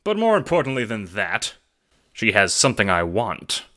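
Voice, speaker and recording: An actor playing a cocky, egotistical gangster adventurer with jovial old-time gangster talk in an audio drama. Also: male voice, on the low side, huge pitch swings, moderate pace, pushed and bright, heavily acted. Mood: eager, scheming. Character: scheming, brash, egotistical male gangster adventurer.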